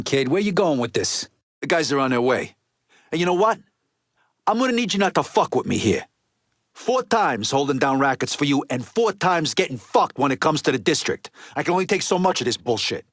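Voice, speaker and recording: An actor playing a gruff, impatient middle-aged American mob underboss in a video game, with blunt, profane, streetwise talk. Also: male voice, fairly high pitch, dry recording, clean studio sound, lightly acted. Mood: fed up. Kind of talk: video game dialogue acting.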